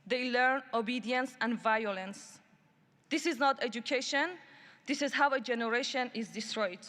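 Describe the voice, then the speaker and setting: A woman from Iran addresses a human rights summit from the stage of a large conference hall. Her voice sits a little high, and she lays out each point in a measured, emphatic way. A long reverb trails behind her words. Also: a bit bright. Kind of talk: prepared speech.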